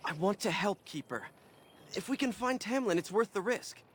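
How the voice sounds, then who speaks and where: An actor voicing an earnest, loyal young elf in a fantasy video game. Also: male voice, high voice, expressive, normal speed, fairly bright tone, slight background noise.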